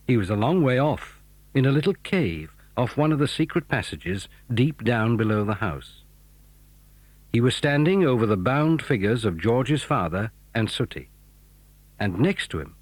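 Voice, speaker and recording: A man's voice: medium pitch, no echo. A man narrating a scripted children's adventure story as the narrator of an audio drama.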